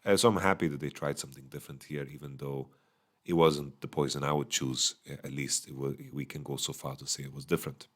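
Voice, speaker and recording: Very deep-voiced man, slightly hoarse. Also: steady tone, quick pace, a bit bright. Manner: casual, many fillers, thinking aloud. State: voice going a bit hoarse. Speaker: esports analyst. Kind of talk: solo recap commentary. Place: small hotel room. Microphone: studio microphone.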